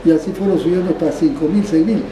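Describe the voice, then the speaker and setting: An older man from Panama, recounting his life as a barber in a rambling, halting way, restarting phrases and adding tag questions. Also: fairly high pitch, over music. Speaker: barber being interviewed. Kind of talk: rambling oral history. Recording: roomy, distant mic, background ambience.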